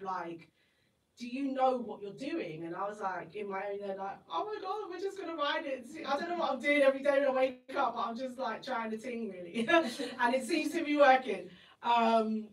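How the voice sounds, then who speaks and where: Woman in her 30s, chatty storyteller, laughs a lot, roomy echo, mic kinda far. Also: a little high. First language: Igbo. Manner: chatty, laughs often, acts out her own inner voice. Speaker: festival guest speaker. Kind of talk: animated interview storytelling. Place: event venue indoors. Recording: echoey room, mic at a distance.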